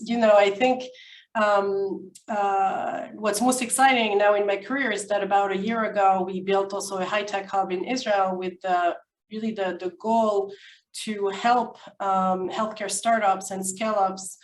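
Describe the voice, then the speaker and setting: Woman, distant echoey mic. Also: medium pitch. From France. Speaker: consultant, panel speaker. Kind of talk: conversational webinar talk. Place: indoor room, online webinar.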